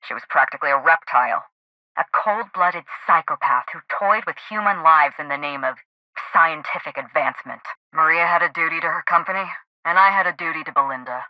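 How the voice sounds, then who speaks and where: A woman's voice: low-pitched, fairly quick, no room sound, highs cut off, lightly acted. Woman acting a vengeful grieving female game character, sardonic, articulate, controlled delivery, dry close studio sound. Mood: hard, defiant. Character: sardonic, grieving actress out to avenge her murdered sister. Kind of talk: video game dialogue acting.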